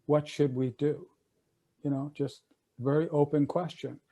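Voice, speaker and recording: Older man, mellow and warm, slightly high, chatty and loose, video call. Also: steady tone, unhurried. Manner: conversational, loose with fillers and restarts. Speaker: attorney and panelist. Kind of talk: recounting history on a panel.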